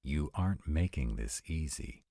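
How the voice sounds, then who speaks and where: An extremely low-voiced, urbane vampire acted by a man for a fantasy audio roleplay. He speaks formally and unhurriedly, with wide swoops of intonation and a gravelly rasp. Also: lively intonation, warm tone, no echo, exaggerated voice. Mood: stern, formal. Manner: formal, measured, articulate. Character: arrogant, urbane male vampires, courteous and formal.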